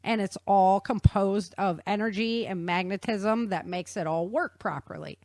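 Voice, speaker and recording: A woman's voice: medium pitch, measured pace, darkish tone, dry recording. A woman presenting an informal explanatory science talk in a casual, conversational, slangy way. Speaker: author and presenter.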